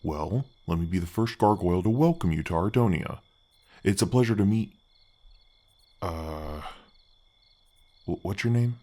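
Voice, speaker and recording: A man voicing a friendly, halting, ancient gargoyle guardian in an ASMR fantasy roleplay, with a deep voice effect. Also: lively intonation, warm tone. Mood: warmly welcoming. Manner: halting, friendly, reassuring, chatty. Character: gentle, helpful male gargoyle, ancient temple guardian.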